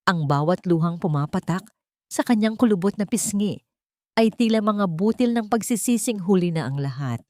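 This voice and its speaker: A woman's voice: sits low, a little mellow, no echo, studio quality. A woman storyteller delivering scripted, dramatic narration of an audio story.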